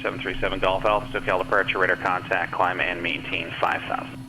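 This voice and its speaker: A man's voice: deep voice, flat delivery, fast. A man working as an air traffic controller, speaking in clipped procedural style over the radio. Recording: noisy aviation radio transmission.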